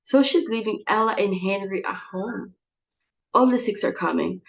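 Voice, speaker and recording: A woman's voice: mid-range voice, moderate pace, gravelly, natural voice. A woman acting a domineering, melodramatic middle-aged society hostess in a dramatic play reading, theatrical and exasperated. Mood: frantic despair.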